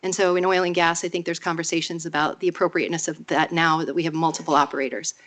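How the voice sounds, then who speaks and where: Woman w a cold, nasal, steady persuasive public comment into a podium mic. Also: low-pitched, quick pace. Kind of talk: public comment at a council hearing. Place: council chamber. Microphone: podium microphone.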